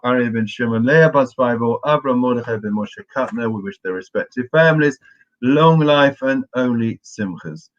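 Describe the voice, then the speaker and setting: A hoarse man, losing his voice, giving a morning learning talk to his congregation and greeting listeners by name in a chatty way. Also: mid-range voice. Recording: muffled lo-fi audio.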